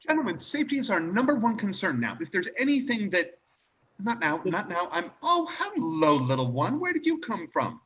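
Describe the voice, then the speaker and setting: Podcast villainous-pompous elder boss monster, male performer, raspy-edged, avuncular bluster, extremely animated intonation. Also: high voice, moderate pace, lo-fi sound, full character voice.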